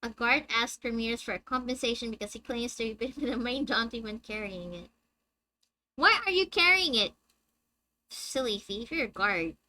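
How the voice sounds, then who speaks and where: A young woman with a high-pitched, squeaky voice, chatting with her viewers on a live game stream and reading the story text aloud. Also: animated, moderate pace, sharp tone. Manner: chatty, reads dialogue aloud and answers chat.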